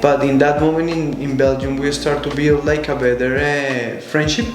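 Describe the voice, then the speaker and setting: A young adult Colombian man with a Spanish accent, speaking thoughtfully as an interview guest in halting non-native English. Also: medium pitch, little pitch movement. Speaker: guest, show jumper. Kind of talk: conversational interview. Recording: echoey room, faint music.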